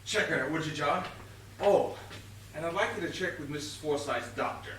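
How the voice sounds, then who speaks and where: An actor playing a pompous, excitable, bumbling police inspector in a stage comedy, theatrical and blustering. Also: male voice, fairly high pitch, moderate pace, close to an everyday voice. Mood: probing. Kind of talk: stage comedy murder mystery acting. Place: theatre stage, recorded from a distance. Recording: roomy distant stage recording, noisy.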